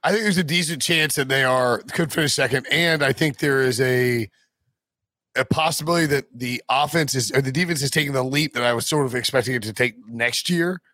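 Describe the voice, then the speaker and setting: A man hosting a sports podcast with a raspy, hoarse voice, steering the talk and sending it to breaks. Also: mid-range voice, brisk, pushed and bright, no echo. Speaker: podcast host. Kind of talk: casual sports chat, hosting.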